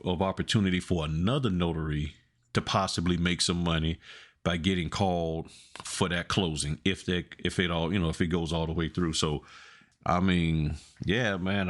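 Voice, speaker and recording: Deep-voiced man in casual, colloquial conversation. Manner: colloquial, argues through everyday examples. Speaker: podcast co-host. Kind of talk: casual chat.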